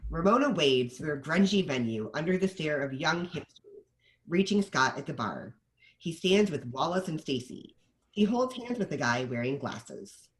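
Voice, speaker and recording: A man's voice: a little high, sing-song, normal speed, rough recording. A man narrating the stage directions of a screenplay at a table read.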